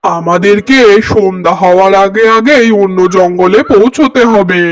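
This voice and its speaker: Male cartoon pigeon, played by a man: high-pitched, urgent, bossy, slightly off-mic with faint music. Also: normal speed, far from the mic, slight background noise, natural voice. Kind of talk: children's cartoon voice acting.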